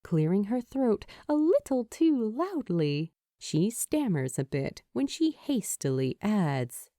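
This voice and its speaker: A woman narrates fiction at an unhurried pace. Her voice is mellow and moves through a lively range of pitch. The recording is dry and close. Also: dry recording. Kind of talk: expressive scripted story narration.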